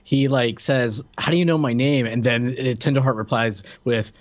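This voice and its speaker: A man's voice: mid-range voice, steady tone, moderate pace. A man co-hosting a podcast, chatting casually about films and chiming in with jokes. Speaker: podcast co-host. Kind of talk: casual movie review chat.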